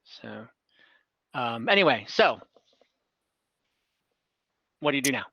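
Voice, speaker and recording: A man narrating a tabletop game as game master, casually improvising in a halting, informal way. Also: mid-range voice, big pitch swings, creaky, dry recording, dull audio. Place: online tabletop game session from home.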